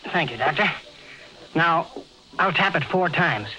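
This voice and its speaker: A man's voice: a little high, expressive, unhurried, bright, forceful tone, full character voice. A man playing a deferential, earnest expedition translator in a 1950s radio drama, speaking respectfully. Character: eager, deferential young expedition crewman and translator. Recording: dull vintage radio recording, music behind.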